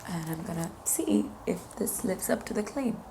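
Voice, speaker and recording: Beauty vlogger, woman, 30s, hoarse; enthusiastic and chatty, slightly low voice with vocal fry. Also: lively intonation, normal speed, noisy background. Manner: chatty, enthusiastic, product-by-product narration. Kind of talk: casual makeup review.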